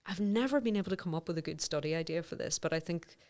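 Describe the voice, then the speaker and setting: A woman academic speaks in a notably low register, her voice smooth and resonant. She is middle-aged and explains her ideas conversationally, circling back with fillers and self-corrections as she thinks aloud. The whole thing sounds like an informal podcast interview. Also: fast talker, a little mellow. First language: English. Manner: thoughtful, many fillers and self-restarts. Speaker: voice researcher, podcast guest.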